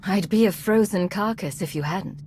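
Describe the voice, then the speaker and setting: A woman's voice: mid-range voice, normal speed, a little mellow, a little hiss or hum, close to an everyday voice. A woman acting a resolute, pragmatic commander in a video game, guarded, terse and controlled. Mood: cool, firm.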